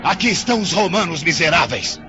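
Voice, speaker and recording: An actor playing a brash, contemptuous rebel soldier with a barking, scornful delivery in a radio drama. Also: male voice, a little high, expressive, a little fast, lightly acted. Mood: triumphant, contemptuous. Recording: muffled radio drama, loud music behind.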